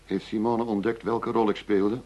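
A shrewd, commanding undercover operator in a radio drama, played by a male actor. His voice is very low and husky, with clipped, authoritative phrasing. The recording is dull and lo-fi. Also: flat delivery, moderate pace, a little mellow, natural voice. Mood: dry, defiant.

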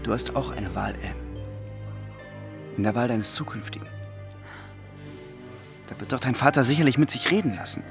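A man's voice: mid-range voice, moderate pace, gravelly, pauses often, over music, natural voice. An actor playing an ambitious, dutiful young man in a German radio drama, earnest and lecturing. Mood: hopeful, persuading. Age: young adult.

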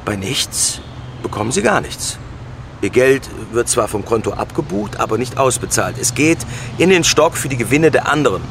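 A man's voice: mid-range voice, animated, moderate pace, vocal fry, noisy background. An actor playing an earnest, self-justifying bank spokesman explaining a product in a radio play interview. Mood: explanatory.

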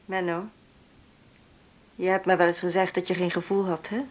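A woman playing a gentle, weary mother talking quietly to her son in a radio drama, with a breathy, husky voice. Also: on the low side, flat delivery, moderate pace, lightly acted. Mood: gentle, insistent.

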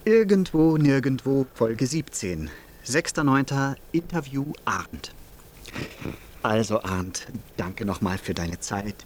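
An actor playing a polite, slightly awkward podcast interviewer, speaking informally and haltingly in a radio drama. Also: male voice, medium pitch, lively intonation, slight background noise. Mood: awkward, eager. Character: fumbling, polite male podcast interviewer with old recorder.

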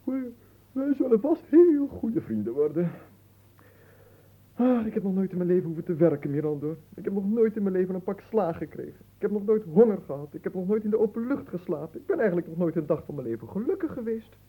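A man's voice: sits high, lively intonation, brisk, breathy edge, phone-quality sound. An actor playing a bored, spoiled young nobleman in a radio drama, melodramatic, languid and pompous. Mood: whimsical, self-pitying. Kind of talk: theatrical radio drama acting.

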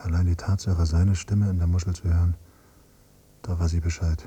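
A man acting as a grieving, quietly bitter adult son in a radio drama, speaking in a sombre, measured way. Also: low voice, flat delivery, lightly acted. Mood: numb, sombre. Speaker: first-person narrator and character. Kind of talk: literary radio drama monologue and dialogue. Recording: noisy hummy cassette copy of radio play.

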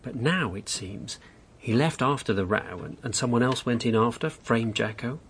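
An actor in a radio drama playing a bored, mischievous housebound husband, candid and needling in manner. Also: male voice, medium pitch, normal speed, a bit bright, slight background noise, close to an everyday voice. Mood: recounting.

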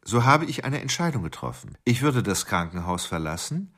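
Husky, low-voiced brooding confiding killer. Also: male voice, very animated, moderate pace, fairly bright tone, exaggerated voice. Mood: cold, scheming. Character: male murderer confiding his plans, coolly obsessive. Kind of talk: radio drama interior monologue.

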